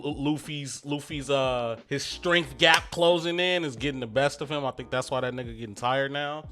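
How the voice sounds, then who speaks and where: Bright-voiced young man, slangy and hyped. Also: medium pitch. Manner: excitable, slangy, laughs often, acts out character lines. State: getting over a cold, a bit stuffy. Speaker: anime review YouTuber. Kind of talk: casual manga review monologue.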